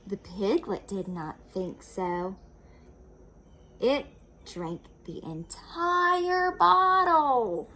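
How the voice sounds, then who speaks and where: A woman reads a children's storybook aloud at an unhurried pace. Her voice is fairly high and very animated, and she explains simply as she goes, over faint background noise. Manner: explains asides simply to young listeners.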